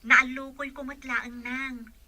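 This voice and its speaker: A woman's voice: high-pitched, level tone, slow, bright, forceful tone, full character voice. A woman playing a respectful, caring young caregiver in a radio drama. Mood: reassuring.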